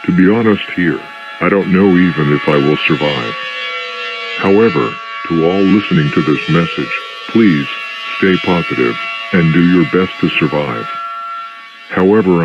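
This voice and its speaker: A man's voice: extremely low pitch, moderate pace. A man playing a grave, resigned government representative giving a formal scripted emergency address. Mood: resigned, somber. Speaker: government spokesman. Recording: dull lo-fi radio broadcast, music behind. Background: clear music.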